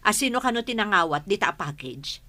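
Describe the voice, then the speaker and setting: A woman with an Ilocano accent playing a suspicious, scheming mother and madam in a radio comedy drama, sharp and demanding. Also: medium pitch, expressive, fast talker. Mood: indignant, accusing. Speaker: voice actor.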